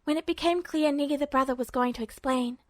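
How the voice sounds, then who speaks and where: A woman's voice: high voice, a little fast, dry recording, clean studio sound. A woman reading scripted fiction as the narrator of an amateur audiobook. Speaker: podfic narrator.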